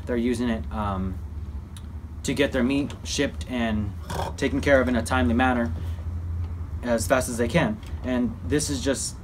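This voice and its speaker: A man's voice: medium pitch, normal speed, pauses often, a little hiss or hum. A man giving an informal mock news report in a folksy, rambling, repetitive way. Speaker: presenter in a student history project.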